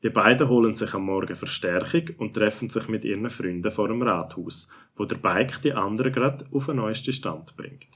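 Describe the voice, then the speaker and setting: A man's voice: on the low side, normal speed, few pauses, a bit bright, breathy edge. A man with a Swiss accent playing the narrator of a children's story, telling it in a scripted storytelling style.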